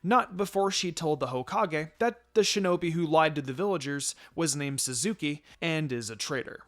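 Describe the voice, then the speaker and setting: A man's voice: a little high, moderate pace, a bit bright. A man narrating a written story aloud as a scripted reading. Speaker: fan fiction reader.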